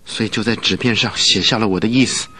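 An actor playing an earnest, determined young husband in a Chinese radio drama. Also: male voice, lowish voice, steady tone, quick pace, pushed and bright, a little hiss or hum.

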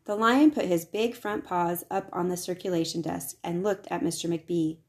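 A woman reading a children's picture book aloud as scripted narration, with expressive delivery. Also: lowish voice, moderate pace. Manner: expressive, acts out the dialogue. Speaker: storybook reader.

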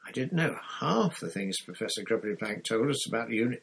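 A man's voice: on the low side, expressive, brisk, vocal fry, a bit bright, husky, full character voice. A man voicing an earnest teenage schoolgirl with a questioning manner.

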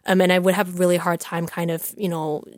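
A woman who teaches Chinese, telling a personal story in a relaxed, candid way with many "like" and "you know" fillers. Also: lowish voice, fast talker, no echo, clean studio sound. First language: English. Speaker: Chinese language teacher, podcast guest. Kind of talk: casual conversational storytelling.

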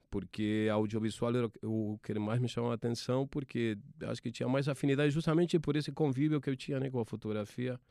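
Man, 40s, Argentine Spanish accent, low pitch, dry close recording, halting storytelling. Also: a little mellow, no echo. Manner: halting, many restarts and fillers. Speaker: TV sports producer. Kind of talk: interview, telling his career story.

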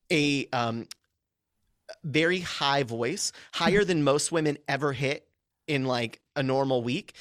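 A man guesting on a podcast as a public speaking coach, talking things through with energy and lots of filler words. His voice sits a little higher than most men's, and the sound is dry and close with no room echo. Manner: energetic, explanatory, many filler likes. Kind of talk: casual podcast conversation.

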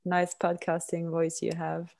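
A woman's voice: lowish voice, completely flat delivery, measured pace, creaky, darkish tone. A woman hosting a podcast interview, asking questions with eager, complimentary enthusiasm. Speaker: podcast host. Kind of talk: casual interview conversation.

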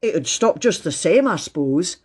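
Woman doing a lively, chatty railway porter. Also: lowish voice, normal speed, breathy edge. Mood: chatty, anecdotal. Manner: colloquial working-class turns of phrase. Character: worthy, chatty male railway porter, tirelessly explaining. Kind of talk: children's storybook reading.

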